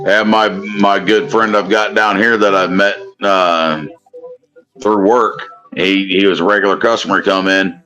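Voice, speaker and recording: A man in his thirties with a Kentucky accent talks in a casual, rambling way. His voice is very deep, with a bright, forceful edge. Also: level tone, measured pace, faint music behind, low-quality recording. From Indiana, US. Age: 30s. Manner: rambling, leans on "you know what I mean". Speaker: guest on a live stream. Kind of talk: casual chat.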